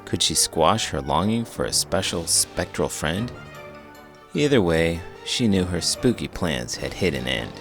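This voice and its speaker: A man with a low voice reads a children's story aloud. His delivery is theatrical and very animated, swinging widely in pitch. Faint music plays underneath. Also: unhurried. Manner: theatrical, expressive. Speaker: host, children's book reader.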